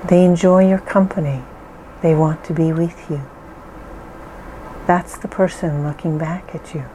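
Woman, guided-meditation guide: very slow, mellow, reassuring, faint hiss behind. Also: lowish voice, fairly even intonation, rough recording. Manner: soothing, reassuring, deliberate pauses.

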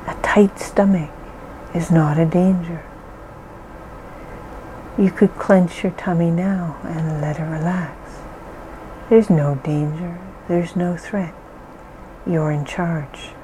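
A woman slowly leading a calming guided relaxation, reassuring and gentle with deliberate pauses. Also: fairly low pitch, slight background noise, low-quality recording. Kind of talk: slow calming guided meditation.

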